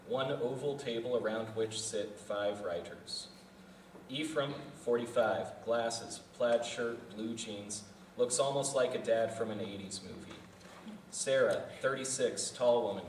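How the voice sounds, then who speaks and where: A man's voice: medium pitch, recorded from a distance. Guy reading screenplay directions plainly, echoey room, faint noise. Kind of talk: scripted narration, live table read. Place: festival venue room.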